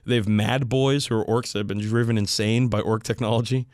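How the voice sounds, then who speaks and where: Low-voiced man hosting a geeky hobby podcast. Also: steady tone, a little fast, a little mellow, no room sound, clean studio sound. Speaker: podcast host. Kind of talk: casual hobby discussion.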